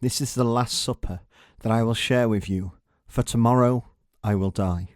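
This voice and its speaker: A kindly, solemn religious teacher voiced by a male narrator speaks in a measured, grave way. The pitch is middling and the storybook recording is dry. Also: dry recording, lightly acted.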